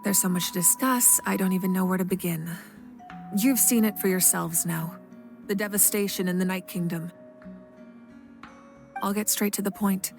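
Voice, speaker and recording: A woman's voice: mid-range voice, fairly even intonation, moderate pace, gravelly, pauses often, music in the background, close to an everyday voice. A woman playing a composed, commanding female leader in a dramatic video game.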